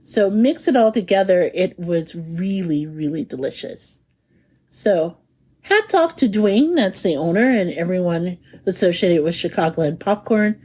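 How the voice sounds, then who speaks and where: A woman's voice: lowish voice, lively intonation, unhurried, darkish tone, slightly rough. A woman co-hosting a food podcast in casual chat, bubbly and chatty, laughing often. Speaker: podcast co-host.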